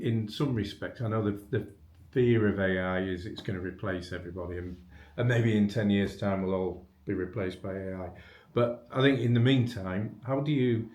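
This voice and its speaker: Male interviewer for a business webinar, conversational and unscripted, lower-pitched, in a reverberant room. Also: a little mellow. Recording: echoey room.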